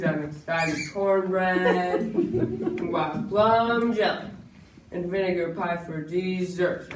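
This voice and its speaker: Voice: mid-range voice, lightly acted. A young performer playing a scheming, falsely genial swindler in an amateur stage melodrama, with theatrical, emphatic delivery. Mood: gleefully menacing. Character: smooth-talking scheming villain swindler, fake government man in top hat. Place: school stage, far camera in audience. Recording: echoey hall from far off, noisy.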